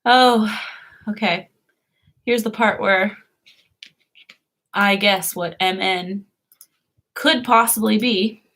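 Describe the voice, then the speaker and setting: A young woman talks to her viewers in a casual live stream. She laughs often and drifts from one thought to the next. Her voice sits in a middle pitch. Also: fairly even intonation, slow, pauses often, a bit bright. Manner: chatty, giggly, answers viewers' comments.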